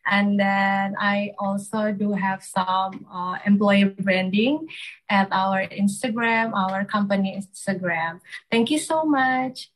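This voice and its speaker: A woman's voice: mid-range voice, slow pace, poor audio. A woman in her twenties giving a casual, upbeat self-introduction in English over a video call. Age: 20s. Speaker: guest contestant.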